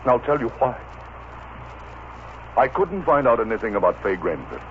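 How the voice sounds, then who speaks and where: A man's voice: mid-range voice, big pitch swings, normal speed, lightly acted. Actor as an impassioned radio-soap leading man, muffled. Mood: stern, protective. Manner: impassioned, melodramatic, commanding. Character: ardent, protective, masterful gentleman lover. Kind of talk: old-time radio soap opera acting. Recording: muffled, noisy vintage radio recording.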